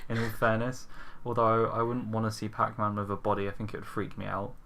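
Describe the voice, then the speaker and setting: A man's voice: lowish voice, little pitch movement, brisk, gravelly, bright, forceful tone, a little hiss or hum. A man leading a casual podcast discussion as host.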